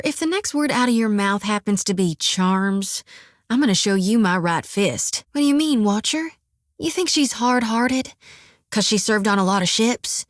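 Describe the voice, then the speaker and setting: A woman's voice: a little high, no echo, clean studio sound. An actress voicing an earnest, devout young farm-raised woman priest, folksy and stubborn. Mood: questioning. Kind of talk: video game voice acting. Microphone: dry studio mic.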